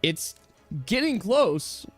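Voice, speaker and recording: A man with a slightly high voice runs a tabletop game as game master. He narrates and calls turns in a casual, conversational way over faint background music. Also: big pitch swings, drawn-out words, a bit bright.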